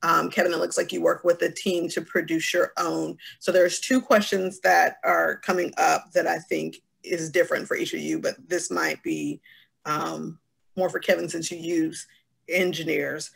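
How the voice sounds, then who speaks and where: Woman, thirties, heavy vocal fry, husky. Casual panel moderator on a video call. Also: sits low, flat delivery, moderate pace. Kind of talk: casual moderating, relaying audience questions. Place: room at home, indoors.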